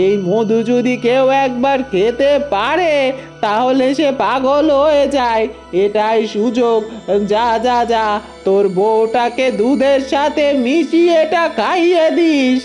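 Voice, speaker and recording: A woman's voice: high-pitched, slow pace, a little mellow, soft background music. A woman voicing a curt, sinister old village herbalist in a fairy-tale cartoon, brusque and commanding. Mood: sly, commanding.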